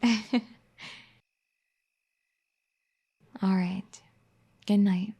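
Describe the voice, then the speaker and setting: A woman voicing a caring, firm young wife in an ASMR roleplay, soft-spoken and gently scolding. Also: medium pitch, flat delivery, slow pace, creaky, husky, full character voice. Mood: teasing tender.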